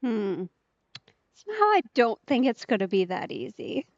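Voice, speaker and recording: Woman streamer, slightly high voice, close dry sound, casual, wry, playful let's play reactions. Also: sing-song, moderate pace, dry recording, highs cut off.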